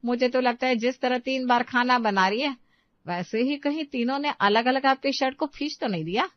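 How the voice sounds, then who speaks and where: A woman's voice: high-pitched, normal speed, no echo, dull audio, close to an everyday voice. An actress playing an older, thrifty, scolding mother-in-law in a cartoon story. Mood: complaining.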